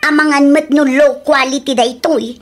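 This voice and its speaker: Radio play wife, high-pitched and naggy, always on about quality, woman acting. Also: fairly even intonation, normal speed, lightly acted. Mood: scolding. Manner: scolding, complaining. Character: fussy wife who demands quality.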